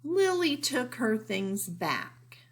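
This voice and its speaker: A woman reading a picture book aloud as a teacher, deliberate and emphatic, for young children. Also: on the high side, big pitch swings. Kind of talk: picture-book read-aloud with informal asides.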